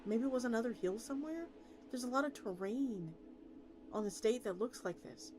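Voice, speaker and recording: A woman's voice: on the high side, moderate pace, noisy background, close to an everyday voice. A woman voicing a practical, sympathetic woman from a search team in a story, reasoning tactfully. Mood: sympathetic, doubtful. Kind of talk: character reading of a story. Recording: loud music behind the voice.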